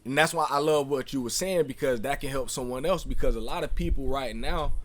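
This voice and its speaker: A man's voice: medium pitch, fairly quick. A man hosting a podcast in casual conversation, speaking earnestly and often affirming his guest. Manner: casual, heartfelt, frequent affirmations. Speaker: podcast host.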